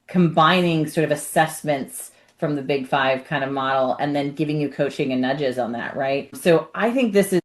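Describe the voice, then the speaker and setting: A woman's voice: low-pitched, normal speed, few pauses. A woman hosting a podcast in casual chat, talking animatedly and often checking in with "right?". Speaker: podcast host.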